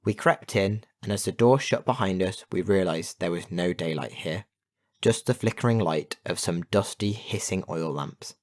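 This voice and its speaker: Low-voiced man narrating a children's story. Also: normal speed. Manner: expressive storytelling with put-on character voices. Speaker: audiobook narrator.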